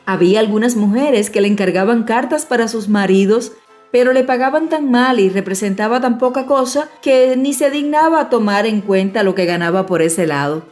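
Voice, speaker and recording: A woman narrates a children's fairy tale in a fairly high voice. Her delivery is scripted audiobook storytelling, with soft music playing underneath. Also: moderate pace.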